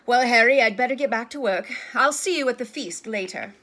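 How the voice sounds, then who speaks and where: Woman reading as a mild, bright-voiced male teacher. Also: a little high, brisk, pitched above the performer's own voice. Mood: friendly. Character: mild, unruffled male schoolteacher. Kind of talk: storybook character voice.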